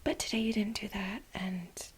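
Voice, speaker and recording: A woman playing a flustered, aggrieved female assistant in a soft-spoken ASMR role play, halting and dramatic, repeating herself for emphasis in a breathy whisper. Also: mid-range voice, flat delivery, a little hiss or hum, close to an everyday voice. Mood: wounded.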